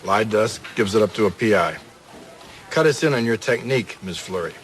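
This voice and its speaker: An actor playing a serious, terse police detective in a crime drama, matter-of-fact in dialogue and voice-over. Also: male voice, fairly low pitch, lively intonation, a little fast, a little hiss or hum, close to an everyday voice. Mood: dry. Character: serious, terse male police detective, narrating his case.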